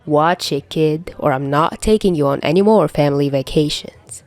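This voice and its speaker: A wry middle-aged father figure in an audiobook, acted by a woman. He sounds exasperated yet fond, with a low, mellow voice and dry teasing delivery. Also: moderate pace, dry recording, soft background music, close to an everyday voice.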